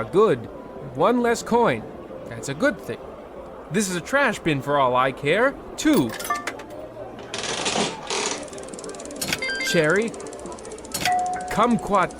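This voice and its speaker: A man playing a pompous, excitable husband in a mock old-time radio comedy, theatrical and stammering when flustered. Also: high voice. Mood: mock woeful, then frantic, exasperated. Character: Percival, pompous, excitable husband with a gambling weakness.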